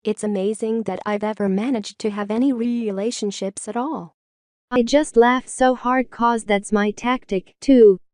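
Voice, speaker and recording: A woman with a fairly high voice reads forum posts aloud at a steady, even pace. The sound is close and dry, with no room around it. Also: measured pace, no room sound. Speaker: narrator reading forum posts.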